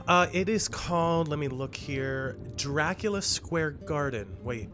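A man's voice: a little high, lively intonation, unhurried, music in the background. A man playing a chatty, easygoing, geeky podcast co-host in a conversational comedic audio drama. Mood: amused, chatty. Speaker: podcast co-host acting as himself.

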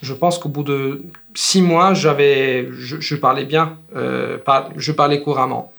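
A man in his thirties from Italy with an Italian accent, talking as a language-teacher guest in a relaxed, rambling way with many fillers and restarts. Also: mid-range voice, a little slow, creaky. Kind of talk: casual conversational interview.

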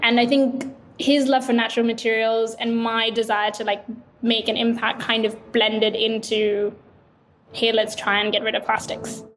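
Young female founder from Sri Lanka, casual interviewee, slightly high voice, faint background hiss. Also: flat delivery, moderate pace. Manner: casual, reflective, frequent "like" fillers. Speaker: startup founder. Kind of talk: interview conversation.

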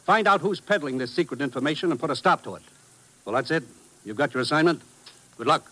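Casting: intelligence commissioner, slightly raised pitch, firm and deliberate, classic radio drama. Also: male voice, animated, sharp tone, poor audio. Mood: firm, commanding. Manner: deliberate, authoritative. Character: authoritative male commissioner, brisk spy chief. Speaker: supporting radio actor. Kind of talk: 1950s radio drama acting.

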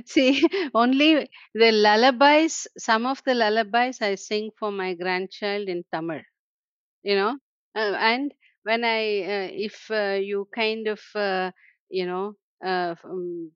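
A woman's voice: mid-range voice, dry recording, lo-fi sound. Older Indian woman, reflective and dry. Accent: Indian English. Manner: warm, frequent "you know" and soft laughs. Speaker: translator and scholar guest. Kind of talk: reflective conversational interview.

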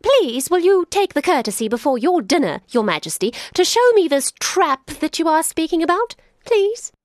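A woman pitches her voice up high to play a sly jackal trickster who flatters and chuckles through every line. His voice leaps high and bounces all over the place. The sound is close and dry. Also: no room sound. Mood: sly flattering. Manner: fawning, chuckling, quick chatter.

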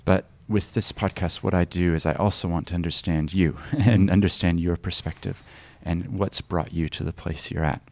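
A man hosting a podcast, reading a scripted introduction and then asking gentle, hesitant questions. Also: deep voice, steady tone, normal speed, creaky, few pauses, no room sound. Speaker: podcast host.

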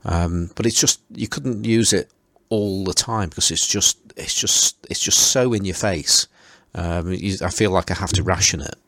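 Low-voiced hesitant man, dry close mic. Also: normal speed, few pauses, fairly bright tone, dry recording. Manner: hesitant, repeats words while thinking. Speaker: podcast host. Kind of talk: casual chat.

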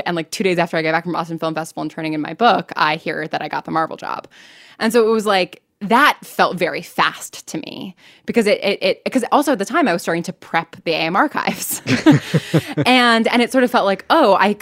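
A woman's voice: medium pitch, fairly bright tone, no echo, clean studio sound. An American woman speaking candidly as an interview guest, in a chatty, informal way with lots of "like" and self-interruptions. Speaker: interview guest, fiction podcast writer and producer.

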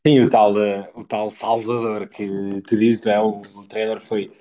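A man's voice: lowish voice, little pitch movement, normal speed, mellow. A man with a European Portuguese accent discussing football conversationally as a co-host, hesitating and repeating phrases as he forms his thoughts.

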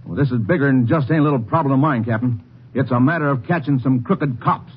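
A man playing an indignant, fast-talking traveler. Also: medium pitch, lightly acted. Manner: indignant, folksy and quick-talking. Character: irate traveling businessman, middle-aged. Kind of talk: 1950s radio drama acting. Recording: muffled old radio broadcast, faint hiss.

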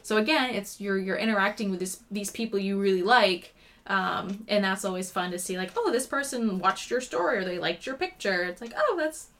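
Bright, chatty woman podcast guest. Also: mid-range voice, lively intonation, fairly quick. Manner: friendly, chatty. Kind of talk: casual chat.

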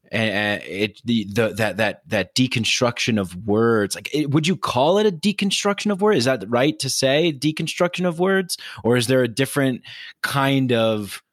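A man hosting a podcast who asks questions haltingly, searching for words and repeating himself. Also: medium pitch, a bit bright, dry recording, clean studio sound. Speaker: podcast host. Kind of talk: casual podcast conversation.

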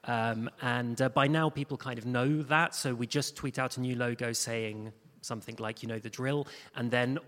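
A man speaking informally as a panel guest, in a halting way with many restarts. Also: mid-range voice, steady tone, fairly bright tone. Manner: hesitant, halting, restarts often. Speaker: panel guest, audio drama producer. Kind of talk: informal spoken anecdote on a panel. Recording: echoey hall with long reverb.

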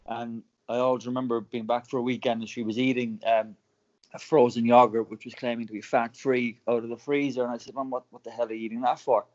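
A man from Cork with an Irish accent, telling his founder story as a podcast guest in a relaxed, chatty way. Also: medium pitch, fast talker, dull audio. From Ireland. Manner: chatty, laughs often, many restarts. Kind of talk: casual podcast interview storytelling.

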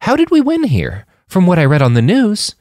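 A man's voice: sits high, huge pitch swings, normal speed, darkish tone, no room sound, clean studio sound, pitched above the performer's own voice. A man voicing a timid, polite young woman with a hesitant, naive manner in an audiobook. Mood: puzzled. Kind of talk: audiobook character voice.

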